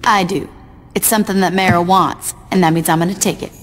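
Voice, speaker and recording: An actress playing a tough, ruthless street gang boss in a video game, speaking in a blunt, cool, sardonic way. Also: female voice, fairly low pitch, steady tone, fairly quick, a little hiss or hum, lightly acted. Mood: cool, businesslike. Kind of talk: video game cutscene voice acting. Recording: loud music under the voice.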